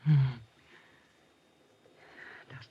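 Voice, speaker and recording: Slow, sultry femme fatale. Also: female voice. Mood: seductive, wistful. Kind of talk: 1950s radio drama acting. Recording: muffled old radio recording.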